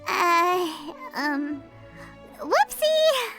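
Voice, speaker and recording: Tiny floating fairy companion for an anime game: childlike, piercingly bright, cheeky, music underneath. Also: female voice, big pitch swings, extremely slow, heavily acted. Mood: sheepish. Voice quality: squeaky, childlike. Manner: bubbly, cheeky, exclamatory.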